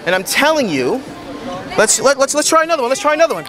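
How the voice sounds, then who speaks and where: A man's voice: very high voice, big pitch swings, fast. A man giving an informal hands-on demonstration, talking insistently and repeating short commands. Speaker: product demonstrator at a convention booth. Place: convention booth in large indoor hall. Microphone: camera a few metres away. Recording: busy convention hall crowd noise.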